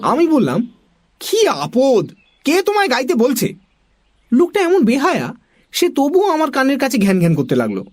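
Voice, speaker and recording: A woman narrating a comic children's story in an expressive storytelling style. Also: high voice, normal speed, long pauses.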